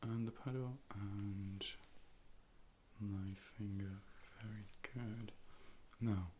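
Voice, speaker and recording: A man playing a calm, polite robot optician in an ASMR role play, speaking softly and at times whispering measured, formal clinical instructions. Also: fairly low pitch, a little hiss or hum. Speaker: ASMR performer playing an eye doctor. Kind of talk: soft-spoken ASMR medical role play, partly whispered. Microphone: close binaural microphone.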